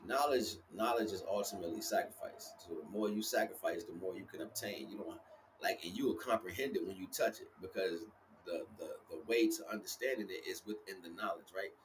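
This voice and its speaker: A man's voice: low voice, a little fast, noisy background. A man giving a casual, freeform spiritual talk as a livestream host, repeating himself and often checking that listeners follow. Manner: repeats himself with frequent conversational check-ins. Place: outdoors.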